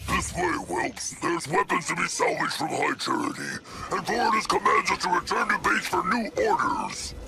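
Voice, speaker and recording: An actor playing a gruff, bullying, short-tempered alien brute squad boss who barks threats in a video game. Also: male voice, sits high, expressive, normal speed, few pauses, poor audio. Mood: gruff, threatening. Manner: barking, threatening. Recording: loud game music behind the voice.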